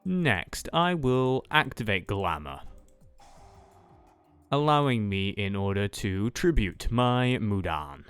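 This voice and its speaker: A man with a lowish voice that moves up and down a lot. He talks casually as a player narrating his moves in a roleplay card game. Faint music plays behind him. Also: sing-song, slow pace, fairly bright tone, clean tone. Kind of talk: casual gameplay talk in a roleplay duel.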